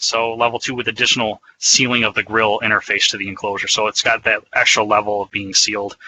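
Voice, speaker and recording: A man's voice: fairly low pitch, bright, forceful tone, a little hiss or hum, poor audio. A man giving an explanatory, conversational product presentation in a semi-scripted webinar style.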